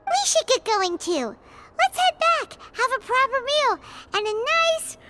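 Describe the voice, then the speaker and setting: A woman voicing a tiny, bubbly, childlike sprite companion in a video game, squeaky, chirpy and eager. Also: big pitch swings, unhurried, very noisy, heavily acted. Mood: cheerful.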